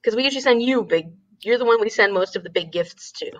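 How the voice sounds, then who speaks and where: A woman's voice: mid-range voice, lively intonation, fast talker. A woman with a nasal voice, hosting a reading stream and chatting casually with a friend, laughing often and hunting for words. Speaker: host of a reading livestream. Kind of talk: casual chat between friends. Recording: dull, muffled audio.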